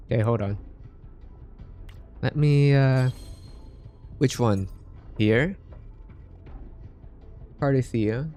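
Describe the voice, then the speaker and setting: Casual male game streamer, halting chat. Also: mid-range voice, darkish tone. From Philippines. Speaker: game livestreamer. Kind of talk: casual live gaming commentary. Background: game music.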